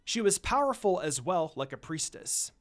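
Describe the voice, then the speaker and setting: A man's voice: high-pitched, big pitch swings, moderate pace, soft background music. A man reading a story aloud as scripted narration. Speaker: fan fiction narrator.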